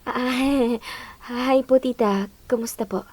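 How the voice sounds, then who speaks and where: An actress playing an awkward, evasive young woman in a radio drama, talking in halting, polite bursts. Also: fairly high pitch, fairly even intonation, a little mellow, dry recording.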